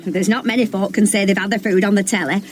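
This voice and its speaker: An actress playing a blunt, proud chief caterer in a radio sitcom, with a Northern English accent and a forthright, down-to-earth manner. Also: female voice, medium pitch, steady tone, quick pace, lightly acted. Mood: blunt, affronted. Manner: forthright, down-to-earth, matter-of-fact.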